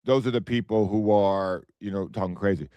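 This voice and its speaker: A man hosting his own podcast in casual, blunt commentary, repeating himself, with a hoarse, strained voice as he loses it. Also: on the low side, moderate pace, warm tone, no echo, dull audio. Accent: American. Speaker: podcast host.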